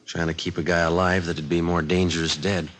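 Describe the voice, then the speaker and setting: A man's voice: low-pitched, little pitch movement, a little fast, a bit bright. An actor playing a terse, matter-of-fact male police detective in an old radio crime drama, with clipped delivery. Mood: businesslike. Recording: old radio recording with music behind.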